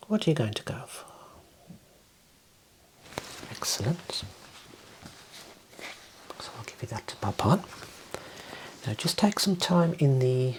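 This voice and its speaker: A man in his thirties whispering gently and soothingly in an ASMR roleplay as an optician, close to the mic in a small room. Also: mid-range voice, big pitch swings, slow, long pauses, a little hiss or hum, low-quality recording. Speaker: ASMR performer playing an optician.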